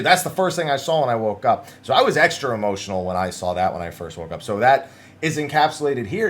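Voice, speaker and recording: Male gaming news host, mid-pitched, conversational and emphatic, casual commentary full of wordy asides. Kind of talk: casual news commentary, talking through interview summaries.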